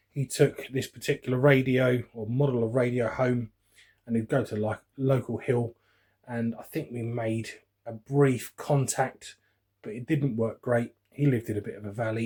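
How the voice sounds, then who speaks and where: A man in his forties with a London accent and a slightly husky, rough-edged voice at an ordinary male pitch. He talks informally and rambles a little, like a hobbyist reminiscing on his own vlog. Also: darkish tone. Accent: London English. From West London, England. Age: 40s. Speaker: radio hobbyist vlogger. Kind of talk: casual reminiscing chat while unboxing.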